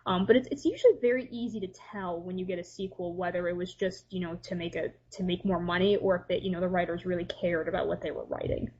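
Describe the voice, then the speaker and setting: A woman's voice: fairly low pitch, fast talker, hardly any pauses, a little mellow, husky. A woman sharing opinions casually as a podcast guest, thinking aloud and restating her points. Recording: dull, lo-fi voice-chat audio.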